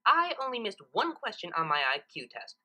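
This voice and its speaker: A woman's voice: mid-range voice, big pitch swings, moderate pace, sharp tone, heavily acted. A woman voicing an exasperated, condescending female alicorn pony in a storybook reading. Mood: dismissive, smug. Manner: indignant, condescending.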